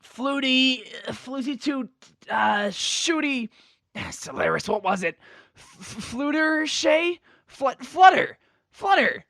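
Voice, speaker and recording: A brash young pegasus stallion from an audio story, acted by a woman, boastful and slangy. The voice sits high and swings widely in pitch. It is recorded very dry and close. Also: lively intonation, slow, creaky, a bit bright, no room sound, full character voice. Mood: searching, excited. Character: brash, cocky young male pegasus pony. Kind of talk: character acting in a fan-fiction audio story.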